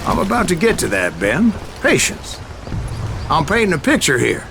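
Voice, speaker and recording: A man's voice: on the high side, wildly swooping pitch, moderate pace, vocal fry, exaggerated voice. An actor playing a boastful, folksy old gunslinger telling his story in a drawling American Western accent. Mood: patient, savoring. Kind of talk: dramatic storytelling narration in a Western game. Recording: voice over loud music.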